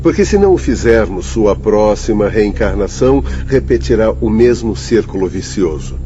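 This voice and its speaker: A man's voice: lowish voice, animated, normal speed, dark tone, close to an everyday voice. A Brazilian man playing a grave, knowing spirit mentor in a radio drama. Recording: dull, noisy drama audio.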